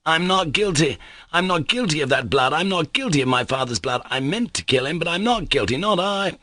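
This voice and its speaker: A man voicing Mitya, a desperate young man accused of murder, shouting his innocence in anguish. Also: a little high, flat delivery, fast, no room sound, voice pushed up, natural voice.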